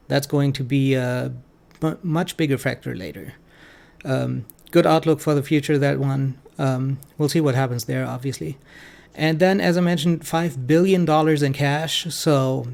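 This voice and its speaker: A man giving informal, analytical financial commentary as a host, speaking in a halting way with frequent ums. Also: mid-range voice, gravelly, a little mellow, clear, resonant tone. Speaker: financial commentator hosting a stock analysis show.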